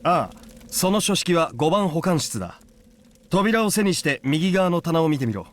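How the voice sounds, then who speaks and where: An actor playing a brisk, officious and irritable male aide in a video game, giving clipped, deadpan orders and dry grumbles. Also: a little high. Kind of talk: Japanese video game voice acting.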